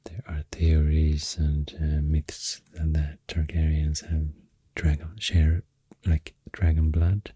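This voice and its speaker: Deep, breathy Swedish-accented man, half-whispering. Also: completely flat delivery, mellow, no room sound. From Sweden. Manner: hesitant, unhurried, halting rambling in short phrases. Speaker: ASMR creator and host. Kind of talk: soft-spoken ASMR rambling. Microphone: close, dry microphone.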